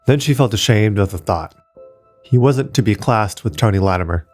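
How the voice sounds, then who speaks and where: A man narrating a short science fiction story in a steady, measured way. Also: fairly low pitch, faint music behind. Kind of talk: scripted audiobook narration.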